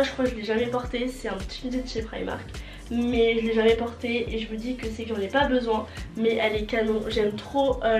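A young woman with a cold and a nasal voice, chatting casually in a fashion vlog and repeating words for emphasis, filmed by a fixed camera in her bedroom. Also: a little high. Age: young adult. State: congested from a cold. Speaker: vlogger. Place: bedroom with a closet, indoors. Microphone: fixed camera at table distance. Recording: roomy indoor sound with faint music.

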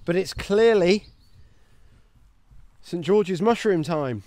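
A chatty man in his thirties, his voice sitting a little high, talks eagerly while he walks through an open field. The phone is held at arm's length, and there is no room echo around his voice. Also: animated, slow pace, mellow. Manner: chatty and enthusiastic, talking while walking. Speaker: homesteading channel host. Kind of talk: walking vlog, mushroom foraging tips. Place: outdoors in a grassy paddock.

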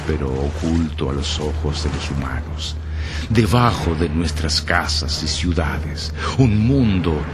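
A man narrating a scripted horror radio drama in a grave, dramatic, ominous manner. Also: a bass voice. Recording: loud music under the voice.

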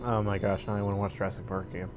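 A young man chatting casually as he streams a game, rambling and halting in an amused way. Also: lowish voice, little pitch movement. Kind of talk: casual live gameplay chat. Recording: loud game music and sounds behind. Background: video game music and effects.

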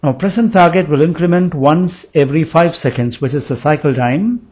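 A man giving a voice-over for a product demonstration, explaining it step by step in a measured way. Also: on the high side, a little mellow, slightly rough. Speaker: product demo narrator.

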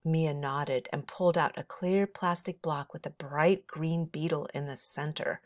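A woman's voice: deep voice, steady tone, measured pace, no echo, studio quality. A middle-aged woman reading a children's story aloud expressively, as a teacher would for young listeners.

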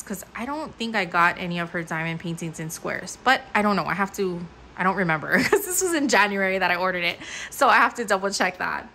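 A woman's voice: mid-range voice, sharp tone. A young adult woman casually talking through a craft kit unboxing at a table, chatty and upbeat with lots of fillers. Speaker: crafting channel host. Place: indoors at a table. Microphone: overhead camera mic, hands-only framing.

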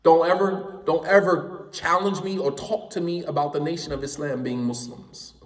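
Guy teaching a class, kinda high voice, really emphatic, sounds far from the mic. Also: steady tone, normal speed, echoey room, in a large hall. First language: English. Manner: emphatic, impassioned, repeats points for stress. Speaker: Islamic studies teacher. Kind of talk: classroom lecture.

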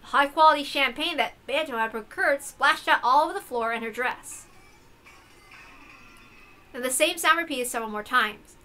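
A woman narrates a visual novel in a high, bright voice. Her delivery is scripted and expressive, like a story read aloud. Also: normal speed, pauses often, a little hiss or hum.

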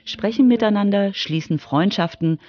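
A woman's voice: mid-range voice, moderate pace, a little mellow, dry recording, muffled recording. A woman reporter reading scripted narration for a children's radio feature.

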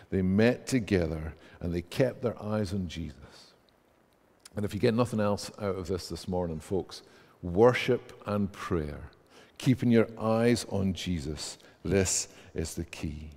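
A man's voice: sits low, a little slow, a little mellow. A man in his thirties with a Scottish accent, preaching a sermon in a large church and reading scripture aloud with rising emphasis. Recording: church hall reverb.

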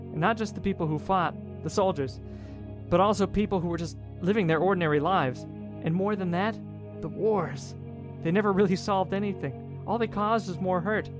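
A man's voice: high-pitched, a little fast, music in the background, lightly acted. An actor playing an earnest, idealistic man who preaches peace in an audio drama. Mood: solemn, remorseful. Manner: earnest, pleading. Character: earnest, idealistic male pacifist preacher from space.

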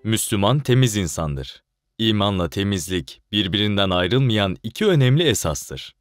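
A man's voice: lowish voice, big pitch swings, moderate pace, clear, resonant tone, no room sound, faint music behind. A man giving scripted narration of a religious maxim as a narrator.

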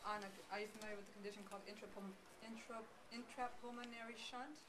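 A woman's voice: a little high, fairly even intonation, quick pace. A woman in an audience asking a spontaneous, hesitant question. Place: audience in conference room. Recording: distant noisy room recording.

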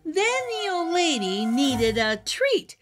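A middle-aged woman hosting a children's read-aloud, telling a rhyming storybook in an animated, sing-song way over music. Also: high voice, takes it slowly. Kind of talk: expressive rhyming storybook narration. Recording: music behind the voice.

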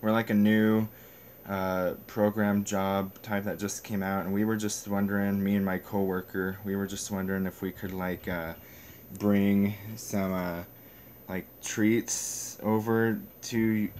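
Low-voiced young man, noisy phone video. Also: darkish tone. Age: young adult. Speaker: prank caller and video maker. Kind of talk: casual phone prank talk with muttered asides. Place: small bedroom, indoors. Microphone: phone at arm's length.

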